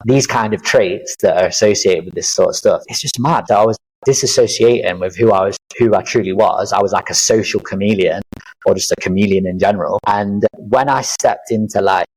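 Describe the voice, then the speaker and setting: A man in his thirties speaks in a low, deep register. He talks through his experiences in an earnest, reflective way, with plenty of fillers and restarts. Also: brisk, a little mellow, slightly rough, rough recording. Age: 30s. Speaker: podcast guest, life coach. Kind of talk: casual interview chat.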